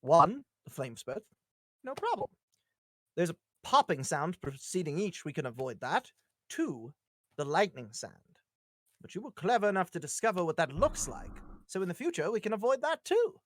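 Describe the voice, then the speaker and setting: A woman's voice: fairly low pitch, big pitch swings, normal speed, no room sound. A woman chatting and reading a part at a live table read, joking and theatrical in manner. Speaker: cast reader at a live streamed table read. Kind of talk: casual chat and playful script reading.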